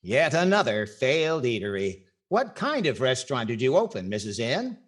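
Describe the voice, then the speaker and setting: A man's voice: mid-range voice, sing-song, moderate pace. An older man playing a wry, inquisitive comedy host in 1940s radio style, echoing lines as straight man. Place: indoors on a video call.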